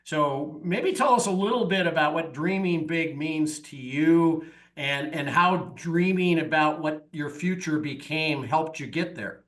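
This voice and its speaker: A man who hosts a podcast. He rambles through his questions with plenty of fillers, and his voice sits a little higher than most men's. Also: unhurried, hardly any pauses, fairly bright tone. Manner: rambling, many fillers, friendly introductions. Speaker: podcast host. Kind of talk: casual interview talk.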